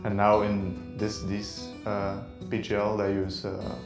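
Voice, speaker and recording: A man's voice: lowish voice, completely flat delivery, slow. A man, an esports player, giving answers in a recorded interview. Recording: very echoey room, guitar music underneath. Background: plucked guitar music.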